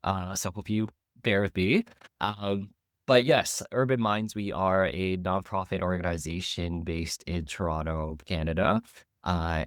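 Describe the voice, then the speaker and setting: A man who runs a nonprofit, speaking on a webinar panel with a nasal voice from a cold. He explains his organization in a steady, informative way with frequent fillers. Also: low voice, no room sound, clean studio sound. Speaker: nonprofit co-executive director. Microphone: video call.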